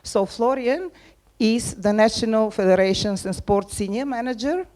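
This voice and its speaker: A woman's voice: on the high side, normal speed, darkish tone. Woman, introducing a speaker, friendly, light laughter. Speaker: event introducer.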